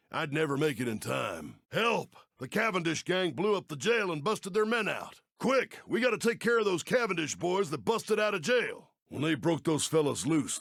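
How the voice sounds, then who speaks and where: A man's voice: medium pitch, gravelly, a bit bright, slightly rough, no echo, studio quality, heavily acted. A man playing a folksy, aging, kindly but worried Old West sheriff in a video game, with an American Western accent and neighborly, drawling speech. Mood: alarmed, urgent. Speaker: mission-giving game character. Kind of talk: video game character acting.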